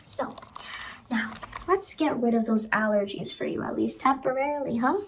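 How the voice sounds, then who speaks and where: A sinister clown lady acted by a woman speaks in a high, soft voice, luring and falsely reassuring the listener. It is horror ASMR roleplay, recorded close to the mic with clear noise behind the voice. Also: expressive, normal speed. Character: sinister, coaxing female ice cream truck clown. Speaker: ASMR performer. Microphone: close ASMR microphone.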